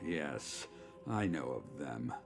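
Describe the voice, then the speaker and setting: An actor playing a weary, dutiful middle-aged legion commander in a video game, speaking in a measured, formal, authoritative way. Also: male voice, extremely low pitch, big pitch swings, soft background music, exaggerated voice. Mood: stern, businesslike. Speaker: game character, military commander. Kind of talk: scripted video game dialogue.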